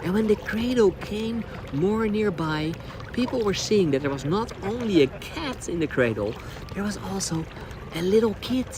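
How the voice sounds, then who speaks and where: A man telling stories as a tour guide, in simple, repeated phrases, outdoors on the water. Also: sits high. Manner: simple phrasing with deliberate repetition. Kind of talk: storytelling tour commentary. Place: outdoors on a small boat on the water. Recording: clear outdoor noise, water sounds.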